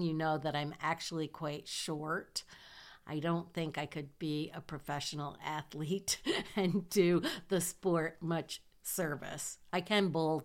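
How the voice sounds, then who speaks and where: A middle-aged woman from southern Michigan with a nasal voice, hosting her own podcast in a chatty, self-deprecating way. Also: sits low. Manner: slow, chatty, self-deprecating with small laughs. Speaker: podcast host. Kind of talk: casual personal monologue.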